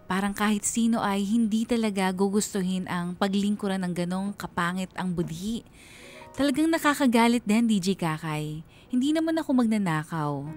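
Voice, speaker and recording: A woman's voice: medium pitch, lightly acted. A woman playing an honest, hurt laundrywoman, narrating her story earnestly in a radio drama.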